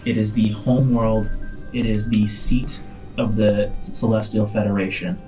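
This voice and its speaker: A man's voice: on the low side, flat delivery, measured pace, warm tone, faint music behind, rough recording. A man working as game master, improvising narration for a science-fiction tabletop game.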